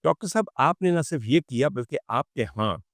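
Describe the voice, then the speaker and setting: A man giving an opinionated commentary to viewers, indignant and sarcastic, using rhetorical questions and pauses for emphasis. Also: mid-range voice, animated, no room sound, studio quality. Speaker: commentary show host.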